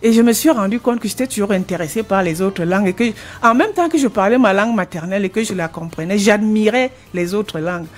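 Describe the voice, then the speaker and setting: A woman's voice: mid-range voice, fast, few pauses. A woman in her sixties with a Cameroonian French accent, a retired language teacher answering interview questions earnestly, often restarting her phrases. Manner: earnest, explanatory, with frequent restarts.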